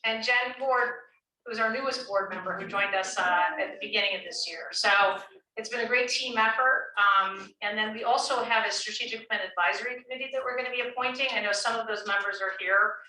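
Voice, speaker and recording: Very bright-voiced woman opening a town meeting. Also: on the high side, fairly quick. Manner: friendly, with frequent ums and uhs. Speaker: town official hosting the meeting. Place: senior center meeting room. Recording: echoey room, distant mic, faint hiss.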